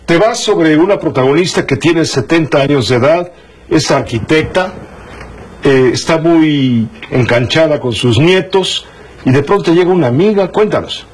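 A man's voice: fairly high pitch, expressive, moderate pace, breathy edge, poor audio. A man hosting a broadcast interview in a conversational style, often repeating words as he feels for the next one. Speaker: host interviewing a guest.

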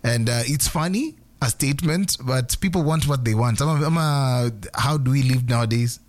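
A man's voice: mid-range voice, normal speed. Opinionated male talk-show co-host. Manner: opinionated, mixes in Swahili phrases. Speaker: radio co-host. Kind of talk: casual talk-show chat.

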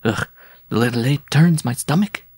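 Man putting on a high-voiced, scornful flirt of a bride. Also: normal speed, darkish tone, husky, no room sound, studio quality, lightly acted. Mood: contemptuous. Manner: roguish, theatrical cooing and sneering.

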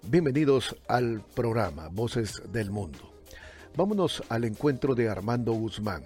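Radio host, male, conversational and halting, faint music bed. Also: medium pitch, very animated, darkish tone. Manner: hesitant, many fillers and restarts.

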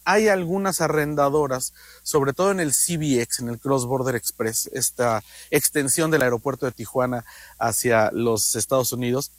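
A man's voice: medium pitch, normal speed, dry recording. A man with a Mexican accent talking conversationally as a radio host, explaining travel matters and repeating phrases for emphasis.

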